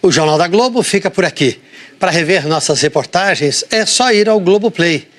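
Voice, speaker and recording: A man's voice: high voice, expressive, normal speed, fairly bright tone. A man with a Brazilian accent presenting scripted news as an anchor.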